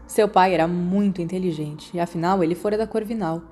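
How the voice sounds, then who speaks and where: Female Brazilian narrator for fan audiobook, soft-edged mellow tone, scripted storytelling delivery. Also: lowish voice, brisk. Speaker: audiobook reader.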